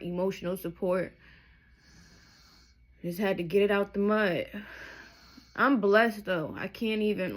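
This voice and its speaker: A woman of thirty telling her life story to her viewers, candid and emotional, rambling with asides as she goes. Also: fairly low pitch. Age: 30s. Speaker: vlogger. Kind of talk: personal testimony to camera.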